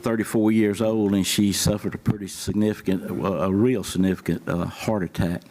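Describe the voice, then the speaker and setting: Southern emergency services official, low mellow voice, plain-spoken, folksy podium remarks. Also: male voice, flat delivery, normal speed. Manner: plain-spoken, many fillers. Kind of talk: public remarks at a meeting. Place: county board meeting room.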